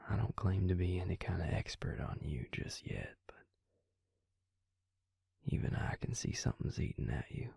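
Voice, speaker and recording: A man playing a gentle, protective vampire with a Southern US accent in an intimate audio roleplay, speaking in a breathy, gravelly, soothing half-whisper. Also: deep voice, animated, normal speed, long pauses, no echo, clean studio sound, heavily acted. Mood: soft concern. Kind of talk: intimate scripted audio roleplay, addressing the listener.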